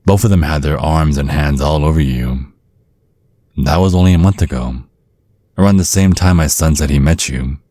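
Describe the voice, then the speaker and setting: A man's voice: a bass voice, moderate pace, warm tone, no room sound. A man playing a centuries-old vampire father in an ASMR roleplay, protective and slyly polite, teasing with veiled threats. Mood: insinuating threat. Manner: mock-courteous, insinuating, teasing with veiled threats.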